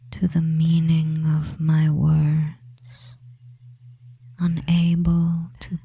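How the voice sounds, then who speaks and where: A woman voicing a devious, dominating young male witch in a breathy, commanding whisper for a slow ASMR hypnosis roleplay. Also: fairly low pitch, heavily acted. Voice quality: breathy, hushed. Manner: slow, commanding, repetitive, with long pauses.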